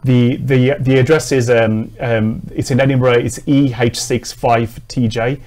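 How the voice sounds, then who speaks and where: Male guest, mid-pitched, steady and a bit halting, studio interview. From England. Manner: steady, hesitant with frequent ums. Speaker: charity cycling volunteer guest.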